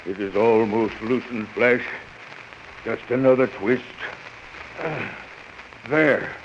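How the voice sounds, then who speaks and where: Loyal space prince male actor, grand archaic diction, unhurried, vintage radio serial sound, dull and lo-fi. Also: mid-range voice, fairly even intonation, close to an everyday voice. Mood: earnest, formal. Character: noble loyal alien prince ally speaking archaic formal English. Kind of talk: 1930s radio serial acting. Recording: muffled old radio recording, faint hiss.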